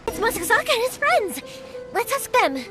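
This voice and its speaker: Young anime game girl, super high bouncy voice, caring, headstrong, expressive. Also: normal speed, faint music behind, exaggerated voice. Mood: friendly. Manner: earnest, expressive, youthful. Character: spirited young woman fireworks maker, caring and headstrong. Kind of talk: English game dub voice acting.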